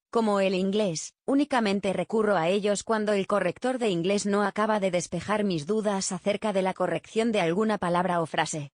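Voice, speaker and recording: A woman reading written answers aloud in a plain, steady way. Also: medium pitch, dry recording, studio quality. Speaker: reader of survey answers. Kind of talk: scripted reading.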